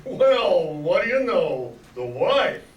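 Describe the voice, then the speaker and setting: Distant with crowd noise, older male actor as tipsy-sounding merry old uncle, slow, radio drama. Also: sits high, very animated, dull audio, heavily acted. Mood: jolly. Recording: echoey room, distant mic, crowd noise.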